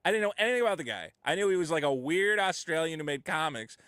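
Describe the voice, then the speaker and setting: Animated, swearing male stream guest. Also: fairly high pitch, fast talker, smooth, dry recording, clean studio sound. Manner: animated and profane, slipping into mock voices to act out others. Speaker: guest on a livestream. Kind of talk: casual chat, telling stories.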